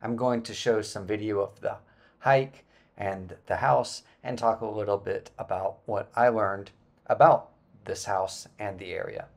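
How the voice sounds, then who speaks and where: A man in his forties narrating a travel vlog about a hike, relaxed and explanatory, with frequent filler words. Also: fairly low pitch, a little slow, gravelly. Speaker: travel vlogger. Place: indoor room, then voice-over on hike footage.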